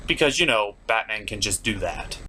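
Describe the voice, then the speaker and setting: A man's voice: lowish voice, expressive, normal speed, pushed and bright, a little hiss or hum. A man hosting a scripted comic review show, speaking in a sarcastic, theatrical way. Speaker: host of a puppet cartoon review show.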